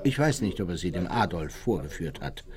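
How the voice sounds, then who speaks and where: An actor playing an old, unrepentant war criminal who reminisces boastfully and matter-of-factly in a radio play. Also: male voice, deep voice, big pitch swings, a little fast, crowd noise. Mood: callous, matter-of-fact. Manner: chatty, boastful, matter-of-fact storytelling.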